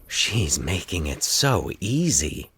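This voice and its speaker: A man playing a cold male killer with deliberate, restrained menace in a horror story. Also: sits low, very animated, fairly bright tone, breathy edge, exaggerated voice. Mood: cold, menacing.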